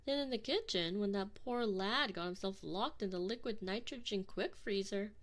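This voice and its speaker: A woman's voice: medium pitch, lightly acted. A woman playing a sadistic, mock-polite alien enforcer in an ASMR roleplay, taunting sweetly and possessively into a close binaural microphone. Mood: gleeful mockery. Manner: slow, mock-sweet, taunting, often dropping into breathy whispers.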